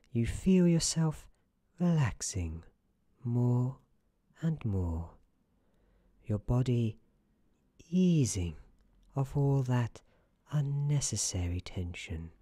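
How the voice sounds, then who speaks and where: A performer voicing a genial, theatrical narrator in a soft-spoken ASMR roleplay, speaking gently and formally with deliberate pauses. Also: mid-range voice, big pitch swings, husky, no echo, heavily acted. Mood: soothing. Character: genial, theatrical storybook narrator guiding someone to relax.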